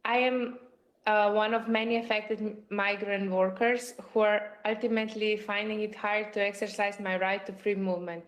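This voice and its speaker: A woman's voice: mid-range voice, level tone, moderate pace. Female office assistant, careful scripted read with slight stumbles, very echoey room. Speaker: parliamentary assistant. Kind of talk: reading citizens' messages aloud in a video.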